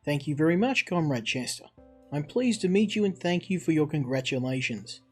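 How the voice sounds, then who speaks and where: A man reading visual novel dialogue as a new mayor, a polite and careful official with a measured manner. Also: on the high side, lively intonation, husky, faint music behind.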